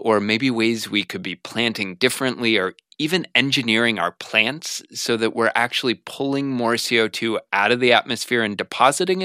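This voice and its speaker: A man's voice: mid-range voice, pushed and bright, clean tone, no echo, studio quality. A man hosting a science podcast, asking questions and narrating in a conversational style.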